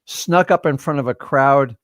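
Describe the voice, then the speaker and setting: A middle-aged man telling loose, rambling stories in casual conversation, his voice sitting at an ordinary male pitch. The sound is dry and close, with no room echo. He leans on fillers and restarts as he talks. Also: a little slow. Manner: rambling, animated storyteller with many fillers. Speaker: host. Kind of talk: casual chat. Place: studio livestream.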